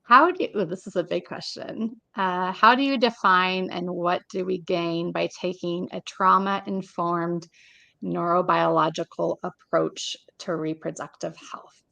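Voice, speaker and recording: A woman in her thirties talks loosely over a webcam, hosting an interview from a room at home. Her voice sits low with a gravelly fry, and the sound is dry and close. She rambles, circling back with fillers and restarts. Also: steady tone, a little slow, pushed and bright, no echo. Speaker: interview host. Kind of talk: casual video call conversation. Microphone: webcam on a video call.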